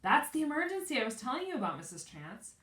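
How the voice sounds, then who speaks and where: A woman's voice: sits high, big pitch swings, fast, a bit bright, voice pushed up. Lady doing cheeky storybook kid, young girl, sweetly sly, bit distant in a roomy space. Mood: sweetly pleading. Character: bold, cheeky young schoolgirl, quick with excuses. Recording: roomy echo, distant from mic.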